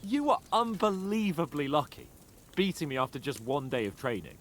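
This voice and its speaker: A cocky young nobleman, lively. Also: a little high, moderate pace, a little hiss or hum. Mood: teasing. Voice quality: playful, mocking. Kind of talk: game cutscene acting.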